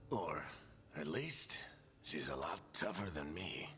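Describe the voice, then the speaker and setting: A fatherly, wounded veteran of a fantasy game, wry and encouraging. The voice is deep and forceful, taking its time, with big swings of intonation over a musical score. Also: sing-song, slow pace, soft background music, heavily acted. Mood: wry, weary. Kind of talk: fantasy video game acting.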